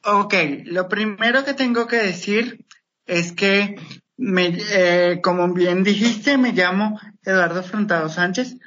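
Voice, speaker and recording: Measured man in his thirties, remote line. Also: sits high, fairly bright tone, muffled recording. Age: 30s. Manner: measured, explains step by step. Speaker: guest, motivational speaker. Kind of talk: interview answers. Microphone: remote connection.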